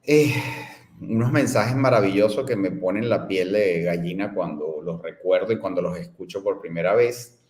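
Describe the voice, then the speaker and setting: A man's voice: low-pitched, moderate pace, a little mellow, reverberant. A man with a Venezuelan accent, a guest in an online panel, speaking earnestly and encouragingly.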